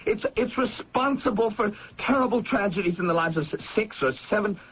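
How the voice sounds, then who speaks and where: Haunted little brother in a radio drama, high strained husky voice, stammery and pleading, dull old recording. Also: male voice, expressive, fairly quick, a little hiss or hum. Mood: anxious, insistent. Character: anguished, guilt-ridden younger brother convinced he is cursed.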